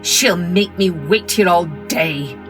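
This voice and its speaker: A woman's voice: lowish voice, takes it slowly, in a large hall, over music. A woman voicing a tyrannical, menacing condor master who scolds and commands in a children's story. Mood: angry, impatient.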